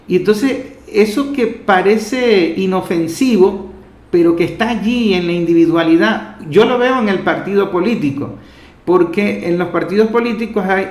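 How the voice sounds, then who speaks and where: A man with a fairly high voice and a Venezuelan accent speaks in an echoey room. As the interviewer he talks discursively, building long and earnest reflections on the way to his question. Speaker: interviewer, host. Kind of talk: discursive political interview talk.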